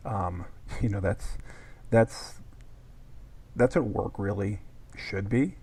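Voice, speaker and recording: A man hosting an interview podcast, with a gravelly, husky voice, asking reflective questions. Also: fairly low pitch, slow, a little mellow. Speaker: podcast host.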